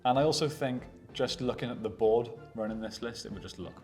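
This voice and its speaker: A man's voice: medium pitch, brisk, darkish tone, soft background music. A man chatting casually as co-host of a tabletop gaming show, with a self-deprecating manner. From Wales. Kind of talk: casual chat.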